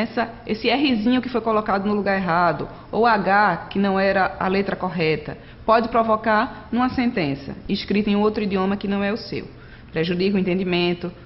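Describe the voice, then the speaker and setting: Brief: woman teacher, chatty recorded lecture, slightly muffled audio, faint hum behind. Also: medium pitch. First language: Portuguese. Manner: conversational, frequent tag questions, addresses students directly. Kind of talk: recorded distance-learning lecture.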